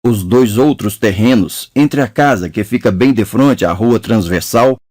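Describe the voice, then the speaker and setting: A man reading a novel aloud as an audiobook narrator, in a measured, even storytelling manner. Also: lowish voice, no room sound, clean studio sound.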